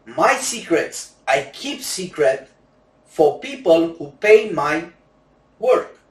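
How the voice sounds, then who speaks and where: A man with a Romanian accent, a native Romanian speaker using broken English, giving a slow, emphatic sales pitch for roulette courses. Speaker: roulette instructor selling courses. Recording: echoey room, distant mic.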